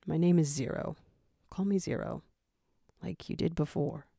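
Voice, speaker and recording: A frail young jackal played by a woman from a dramatic fan story, worn out and bitter. The voice is low for a woman, with a creaky edge, and carries a gloomy defiance. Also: normal speed, warm tone, no echo, clean studio sound. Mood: weary, gentle.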